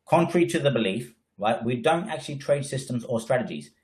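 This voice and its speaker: A man's voice: medium pitch, expressive, fairly quick, a little mellow. A man with a head cold, presenting a trading webinar in an emphatic, coaching way, often tagging lines with "right?". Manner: emphatic, frequent "right?" tags. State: congested with a cold. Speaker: trading educator.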